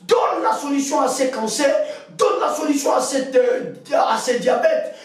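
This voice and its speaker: An impassioned male preacher with a very high-pitched voice leads prayer with commanding, repetitive urgency. He often pushes into shouting, and his voice rings with heavy echo, picked up at a distance from the microphone. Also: expressive, unhurried. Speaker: preacher leading a prayer meeting. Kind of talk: fervent exhortation and prayer, insistent repetition. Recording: very echoey hall, distant from mic.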